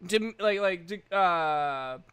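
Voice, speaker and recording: High-pitched, bright-voiced man hosting a tabletop game. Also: lively intonation, takes it slowly, smooth. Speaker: game master and session host.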